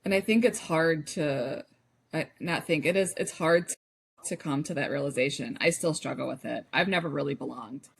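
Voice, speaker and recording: Low, husky American woman. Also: moderate pace. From United States. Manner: thoughtful, hesitant, restarts sentences. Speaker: podcast guest. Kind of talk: casual podcast conversation.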